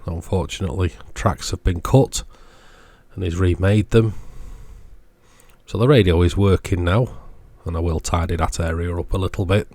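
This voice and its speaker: A man presenting a hands-on electronics repair demonstration, measured and matter-of-fact, explaining things in short phrases. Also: deep voice, steady tone, darkish tone, dry recording.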